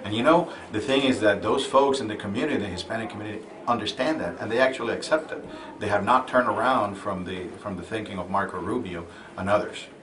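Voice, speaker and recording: A man's voice: fairly low pitch, fast talker, roomy sound, background noise. A man running for a congressional seat, answering interview questions earnestly and often repeating words as he looks for the phrasing. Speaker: congressional candidate. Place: busy convention hall. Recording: from a distance over a crowd.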